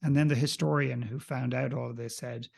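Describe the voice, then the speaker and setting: A man's voice: mid-range voice, steady tone, a little fast, creaky. A man in his forties with an Irish accent, speaking earnestly as a guest on a video call interview from a room at home with a desk mic. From Ireland. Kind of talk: reflective interview conversation. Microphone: desk condenser mic, video call.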